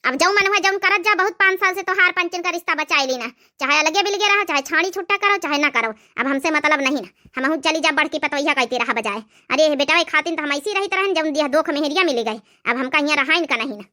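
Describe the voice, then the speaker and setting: A woman's voice: high-pitched, quick pace, few pauses, bright, forceful tone. A woman voicing an aggrieved older village mother-in-law in an Awadhi-accented cartoon comedy, rattling off complaints. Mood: angry, fed up, then resigned, aggrieved. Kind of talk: animated family comedy dialogue.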